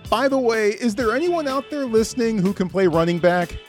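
A man's voice: sits high, a little fast, soft background music. A middle-aged man presenting a sports podcast with the upbeat, emphatic delivery of a play-by-play announcer.

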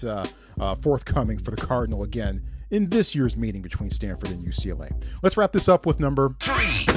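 Animated, high-voiced male sports show host. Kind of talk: scripted sports show narration.

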